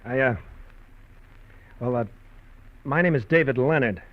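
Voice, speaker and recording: An actor playing a nervous young husband in a 1950s radio drama, speaking in a hesitant, halting way. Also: male voice, mid-range voice, lightly acted. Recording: lo-fi 1950s radio broadcast with faint hiss.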